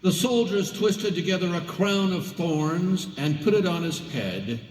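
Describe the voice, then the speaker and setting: Slow, theatrical male scripture reader. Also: sits high, lively intonation. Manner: theatrical, solemn, deliberate phrasing. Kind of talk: dramatic Bible reading. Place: large church hall at a service. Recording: echoey hall, distant microphone.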